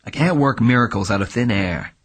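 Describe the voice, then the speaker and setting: A man reading an audiobook, voicing an impatient private detective who speaks curtly and irritably, loud with anger. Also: mid-range voice, fast, husky. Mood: irritable. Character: impatient hard-boiled male private detective.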